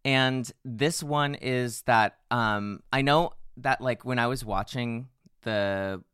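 A man with a hoarse voice co-hosting a podcast, chatting playfully and poking fun at himself. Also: medium pitch, fairly bright tone, dry recording, clean studio sound. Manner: playful, self-mocking, quick asides. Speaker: podcast co-host. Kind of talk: casual chat.

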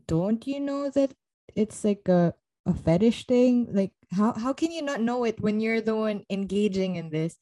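A woman's voice: medium pitch, dark tone, rough recording. A young woman co-hosting a podcast, giving exasperated, opinionated takes in a casual book discussion. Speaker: podcast co-host.

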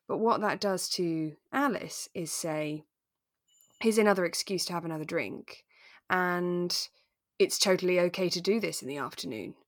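Woman, lowish voice, dry close sound, relaxed podcast discussion, thoughtful explaining. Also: normal speed, dry recording. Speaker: podcast co-host. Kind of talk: relaxed conversational discussion of a radio drama.

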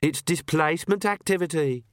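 Man doing an earnest young witch, raised pitch. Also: normal speed, dry recording, studio quality, close to an everyday voice. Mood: earnest, matter-of-fact. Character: earnest, hesitant, bookish young woman witch.

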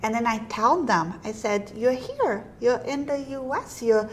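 A woman's voice: a little high, animated, a little slow, a little hiss or hum. A woman whose first language is Portuguese, a state representative hosting a casual conversation about education bills, talking animatedly with shifting pace.

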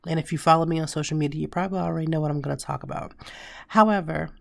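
A woman in her thirties hosting a casual solo podcast, hoarse and raspy from a cold, chatting and telling stories straight to her listeners. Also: low-pitched, steady tone, very fast, no room sound. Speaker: podcast host.

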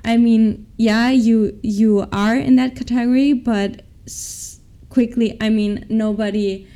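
Mellow-voiced young woman, hesitant and upbeat. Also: a little high, fairly even intonation, slow. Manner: hesitant, restarts often, open and upbeat. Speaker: MBA student, former professional athlete. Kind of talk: interview answers.

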